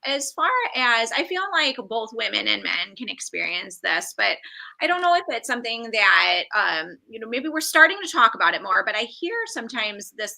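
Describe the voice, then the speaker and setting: A woman hosts a podcast, and her voice has a strong gravelly fry under a very bright, sharp tone. She works her way through her questions aloud, filling gaps with ums and likes. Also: a little high, rough recording. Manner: thinks aloud while asking, with many fillers. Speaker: podcast host. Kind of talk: interview questions in casual chat.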